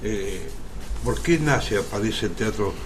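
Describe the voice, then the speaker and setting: An Argentine man, an actor being interviewed on radio, speaking reflectively in deliberate phrases broken by pauses. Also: on the low side, animated, slight background noise. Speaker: interview guest, stage actor.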